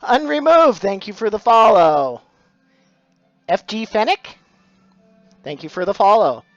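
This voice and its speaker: A man's voice: sits high, very animated, measured pace, a bit bright, no echo, lo-fi sound, faint music behind. A middle-aged man, chatting casually on a live stream about his craft, full of dad jokes and thanks to followers. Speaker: stained glass streamer.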